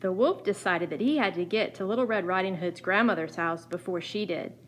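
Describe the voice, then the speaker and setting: A woman's voice: on the low side, brisk. A woman narrating a scripted children's fairy tale as a storyteller. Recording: faint background noise.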